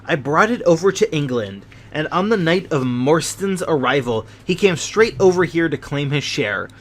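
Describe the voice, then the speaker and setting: Man reading as a dying old major, guilt-ridden, slow heavy confession, slightly high voice. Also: animated, a bit bright. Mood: confessing.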